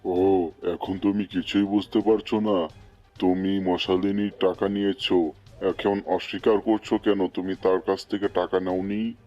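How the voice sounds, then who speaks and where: A man's voice: low-pitched, little pitch movement, a little slow, warm tone, muffled recording, soft background music. A man playing a stern, indignant animal chief of a cartoon forest, scolding with authority. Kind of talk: cartoon dialogue.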